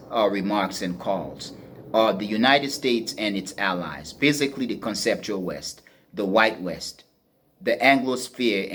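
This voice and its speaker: A man with a fairly low, somewhat husky voice delivers unscripted commentary as a podcast host. He speaks deliberately and emphatically, restating phrases as he builds his point. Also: a bit bright.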